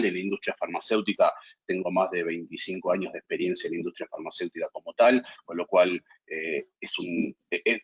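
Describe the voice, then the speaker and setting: Low husky Argentine man on a call. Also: muffled recording. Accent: Argentine Spanish. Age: middle-aged. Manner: measured, halting with many repeated words. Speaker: panelist. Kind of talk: webinar panel introduction.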